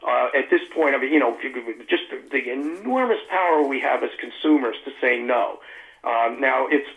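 A man as a guest author on a radio show, speaking earnestly over the phone with halting restarts. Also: medium pitch, gravelly. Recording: tinny phone line.

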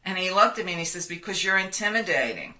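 A bright, forceful woman in her sixties tells her stories loudly and with plenty of animation. She laughs easily as she talks, and her voice carries. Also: on the low side, fast. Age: 60s. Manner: loud, animated storyteller who laughs often. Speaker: guest. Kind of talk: conversational interview.

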